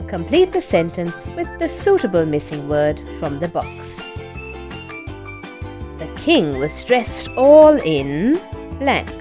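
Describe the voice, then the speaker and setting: A woman reading comprehension questions for children in a measured, instructional way. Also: on the low side, very animated, over music. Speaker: quiz presenter.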